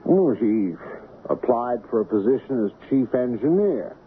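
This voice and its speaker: An actor playing a guarded, genteel shipping boss in an old radio mystery, formal, deliberate and halting. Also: male voice, mid-range voice, very animated. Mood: smooth, insinuating. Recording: narrow, noisy old radio broadcast.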